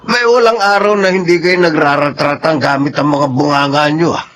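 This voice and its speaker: A man's voice: on the high side, moderate pace, a bit bright, close to an everyday voice. A man playing a weary, teasing older Filipino man in a radio drama, complaining and urging peace. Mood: weary, exasperated.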